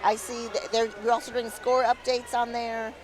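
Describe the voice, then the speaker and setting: A woman's voice: on the high side, level tone, normal speed, low-quality recording. A woman co-commentating a roller derby game live, upbeat as she reads out scores and chat messages. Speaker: color commentator.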